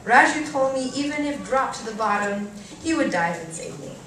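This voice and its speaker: A woman reading a first-person story aloud at a live event, expressively and theatrically, with deliberate pauses and varied emphasis. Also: fairly high pitch, expressive, a bit bright. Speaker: reader performing a short story at a live event. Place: live reading in a cafe venue. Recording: echoey room, distant mic, faint noise.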